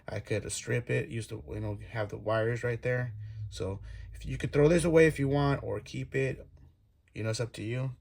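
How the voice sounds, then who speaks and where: Gravelly-voiced man giving a casual tutorial. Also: medium pitch, steady tone, moderate pace, darkish tone. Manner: informal, talks through each step while working. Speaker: DIY video host. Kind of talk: casual hands-on tutorial talk. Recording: clear background noise, clicks.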